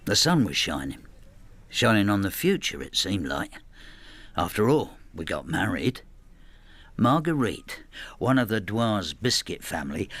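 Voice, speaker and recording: Older working-class husband in a radio play, lowish voice, understated storyteller, bit bitter. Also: male voice, fairly bright tone, no echo, exaggerated voice. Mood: reflective. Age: 60s. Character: retired working-class widower, plain-spoken, quietly bitter husband. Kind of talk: radio drama narration and dialogue.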